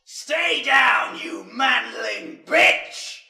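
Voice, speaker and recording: A man's voice: very high-pitched, lively intonation, extremely slow, creaky, pushed and bright, reverberant, shouting, far from the mic, exaggerated voice. A man voicing a boastful, vicious ratman warrior in a fantasy character piece, gloating in exclamations and repeating himself. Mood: gloating, aggressive. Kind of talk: character voice demo.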